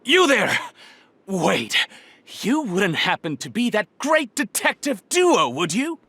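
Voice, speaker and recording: High-voiced gushing superfan. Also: male voice, big pitch swings, slow pace, bright, forceful tone, breathy edge, exaggerated voice. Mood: starstruck, eager. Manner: effusive, eager, polite. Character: gushing, excitable male fan of the detective duo. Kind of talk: video game cutscene acting.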